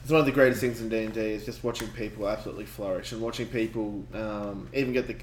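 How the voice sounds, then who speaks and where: A man's voice: fairly low pitch, steady tone, quick pace, slight background noise. A man co-hosting a casual podcast as a game master, talking with enthusiasm and frequent laughter. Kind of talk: casual chat. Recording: echoey room with faint rain ambience.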